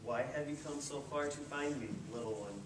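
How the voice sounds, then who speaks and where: Wise ancient storybook turtle male performer, gentle and grave, poetic delivery; noisy, distant recording. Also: fairly high pitch, normal speed, lightly acted. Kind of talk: readers theatre storybook performance. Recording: roomy, distant mic with clear noise.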